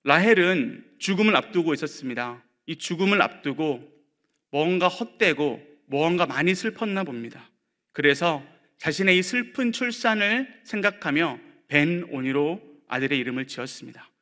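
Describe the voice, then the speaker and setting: A male pastor giving a sermon in a conversational way, with light humor and frequent pausing fillers. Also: on the high side, lively intonation, bright, forceful tone.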